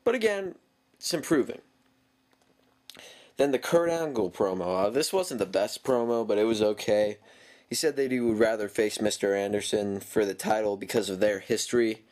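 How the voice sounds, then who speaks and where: A man's voice: fairly low pitch, normal speed, darkish tone. Stuffy-nosed man rambling a wrestling review. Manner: rambling, with frequent ums and self-corrections. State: stuffy from a cold. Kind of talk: casual spoken review.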